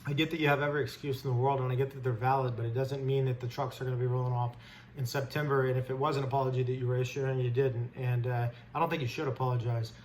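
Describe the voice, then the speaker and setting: Man, medium pitch, indignant trader commentary, blunt, unscripted, informal, noticeable background noise. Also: little pitch movement, quick pace, a little mellow. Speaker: stock trader host giving commentary. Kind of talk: unscripted opinionated rant.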